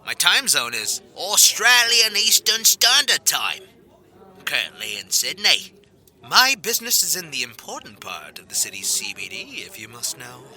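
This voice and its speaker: An actor playing a cocky, flirtatious, eccentric field agent in an audio drama, smug and theatrical, dropping in bits of Spanish. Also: male voice, on the high side, wildly swooping pitch, normal speed, sharp tone, heavily acted. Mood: smug, haughty.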